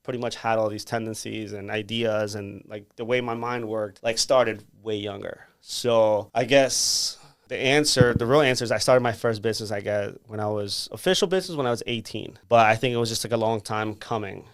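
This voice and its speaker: A man's voice: fairly low pitch, normal speed, clean tone, no echo. A young man from Peru, a guest entrepreneur, talking casually in an in-person interview, chatty with lots of fillers. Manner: relaxed, chatty, many "like" fillers, laughs easily. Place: co-working space conference room.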